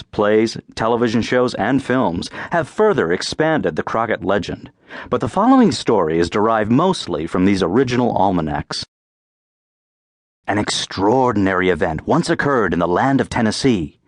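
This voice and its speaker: A man reading scripted folk-tale narration as an audiobook narrator, with clear phrasing and a dramatic storyteller's delivery. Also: mid-range voice, no echo, studio quality.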